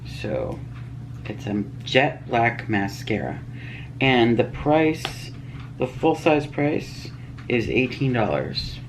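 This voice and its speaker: Very low-voiced sniffly woman vlogger. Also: very animated, takes it slowly, slight background noise, low-quality recording. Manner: chatty, reads prices and labels. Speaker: beauty vlogger. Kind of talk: casual unboxing chat.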